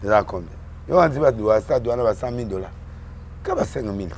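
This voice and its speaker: Homme originaire du Congo qui parle en mélangeant le français et le lingala, sur un ton emphatique, en répétant ses mots dans une discussion politique. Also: male voice, lowish voice, lively intonation, brisk, slight background noise. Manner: mixes French and Lingala, emphatic, repeats words. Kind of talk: animated political chat.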